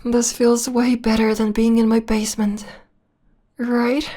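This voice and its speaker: A possessive, seductive female vampire, acted by a woman, murmurs to her lover in an intimate ASMR roleplay. Her voice is fairly high and breathy, often dropping to a whisper. She speaks slowly and evenly, doting and coaxing. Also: flat delivery. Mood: devoted, menacing. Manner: soft, slow, coaxing.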